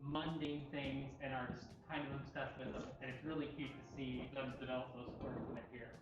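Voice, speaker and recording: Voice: medium pitch, level tone, fairly quick. A livestream co-host at a cat cafe, explaining things in a casual, informal way. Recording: dull, distant, echoey room audio. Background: clearly audible music.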